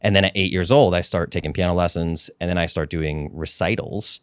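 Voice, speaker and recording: A man from Rhode Island, a podcast guest, telling stories about his music life in animated, casual chat. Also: deep voice, clean tone, no echo, studio quality. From Rhode Island, USA. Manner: animated, fast storytelling.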